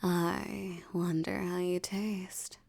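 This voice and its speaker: Woman acting a slow, creaky, seductive vampire elder. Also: fairly low pitch, level tone, a little mellow, full character voice. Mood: seductive, threatening. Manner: slow, teasing, menacing, with lingering pauses. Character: dominant, seductive aristocratic female vampire elder. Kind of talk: intimate scripted audio roleplay.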